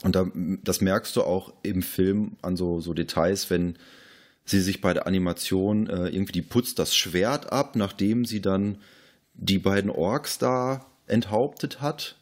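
A man's voice: low voice, a little slow. A man podcast host talking in a casual film discussion.

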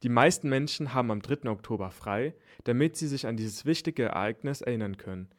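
A man's voice: medium pitch, lively intonation, a little fast. A man reading scripted radio news for children as a newsreader.

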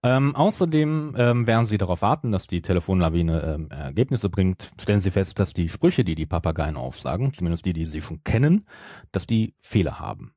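A middle-aged man with a low voice that moves up and down a lot. He talks casually as a podcast co-host, stumbling and correcting himself along the way. The sound is close and dry. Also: animated, fairly quick, warm tone, no room sound. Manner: hesitant, self-correcting, many broken-off restarts. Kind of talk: casual podcast talk, retelling a story.

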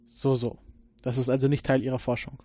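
A man's voice: medium pitch, level tone, a little fast, dark tone, no room sound. Hesitant man questioning a suspect. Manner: hesitant, many fillers, interrogating. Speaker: player. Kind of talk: playing an investigator in a tabletop game.

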